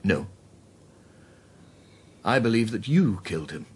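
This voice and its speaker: An actor playing a quiet, perceptive middle-aged Scottish man in a radio drama, troubled and gentle, with a measured, understated delivery. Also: on the low side, sing-song, breathy edge, heavily acted. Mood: quiet, probing. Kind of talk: naturalistic radio drama acting.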